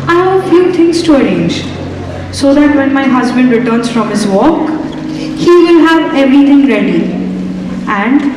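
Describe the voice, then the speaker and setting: A young woman acting a kind, devoted wife in a school stage play, speaking gently and formally. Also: high voice. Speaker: student actor. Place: large auditorium stage. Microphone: far camera. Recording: echoey hall from far off, noise.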